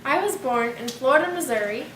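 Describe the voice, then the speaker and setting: A woman's voice: high-pitched, lively intonation, brisk, fairly bright tone, echoey room, slight background noise. A young woman playing a plain-spoken male author, distant. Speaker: student playing a humorist author. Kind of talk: school project mock talk show.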